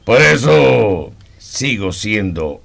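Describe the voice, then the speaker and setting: Proud, deep-voiced old-school colonel. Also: male voice, sing-song, drawn-out words, gravelly, fairly bright tone, full character voice. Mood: proud, indignant. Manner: pompous, formal, emphatic. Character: proud retired army colonel and landowner, honor-bound. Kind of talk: radio drama acting, historical radionovela.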